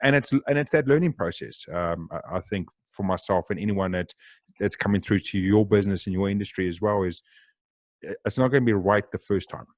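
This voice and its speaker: A South African man hosting a podcast, talking loudly, fast and with excitement in casual interview chat. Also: on the low side, dry recording. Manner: loud, excitable, talks fast. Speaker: podcast host.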